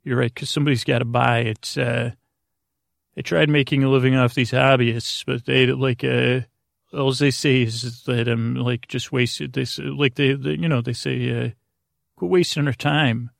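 Man, creaky low-key fry in the voice, dry close sound, halting bedtime rambling, self-deprecating host. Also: steady tone, dry recording. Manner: meandering, halting, self-deprecating, trailing off mid-sentence. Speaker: podcast host. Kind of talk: rambling bedtime sleep podcast monologue.

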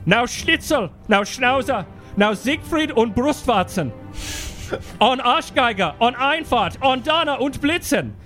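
A man's voice: very high-pitched, measured pace, exaggerated voice. Man putting on a theatrical, gloomy German poetry reader. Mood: frantic, aggressive. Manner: theatrical, pedantic deadpan explanations. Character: solemn, gloomy German cultural ambassador reading scary Christmas poetry. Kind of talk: dramatic comic poetry reading. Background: music behind the voice.

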